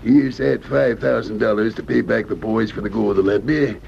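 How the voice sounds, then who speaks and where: An actor playing a wily, folksy old gold prospector with a rural American drawl in an old-time radio drama. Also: male voice, lowish voice, steady tone, moderate pace, creaky, darkish tone. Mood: sly satisfied. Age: older. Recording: dull noisy vintage radio recording.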